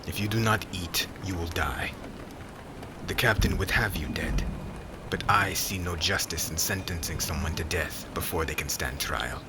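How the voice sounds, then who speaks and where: A man playing a stern, cold witch hunter in an ASMR roleplay, speaking in a solemn, formal, menacing way that often drops to a hush. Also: deep voice, moderate pace, noisy background, close to an everyday voice. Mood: stern, reproachful. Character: stern male witch hunter captor, cold, grudgingly admiring. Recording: heavy rain and thunder ambience behind. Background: rain and thunder sound effects.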